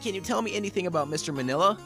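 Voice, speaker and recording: A man's voice: high-pitched, expressive, racing, pushed and bright. A man voicing an awkward young male lawyer, hesitant and wry, in an amateur game fandub. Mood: wry, inquiring. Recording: music faintly behind the voice.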